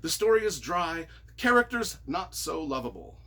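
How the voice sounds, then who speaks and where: A man reading reviews aloud and reacting casually in an expressive, wry, self-deprecating way. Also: a little high, normal speed. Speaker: author and YouTuber.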